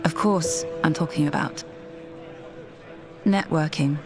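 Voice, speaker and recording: Woman, slightly low voice, scripted meditation narration, measured and soothing, prominent background music. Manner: measured, deliberate, soothing delivery. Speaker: meditation guide.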